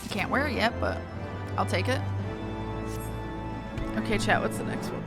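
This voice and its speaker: A woman's voice: medium pitch, sing-song, normal speed, loud music behind the voice. A woman chatting casually as she livestreams a game. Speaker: game streamer. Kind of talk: casual livestream chat between read-aloud game dialogue.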